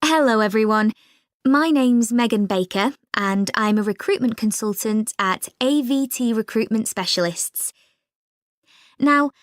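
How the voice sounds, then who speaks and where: An actress playing an upbeat, informative recruitment consultant giving a clear, organised scripted talk to jobseekers. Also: female voice, mid-range voice, dry recording, clean studio sound, natural voice. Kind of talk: scripted presentation.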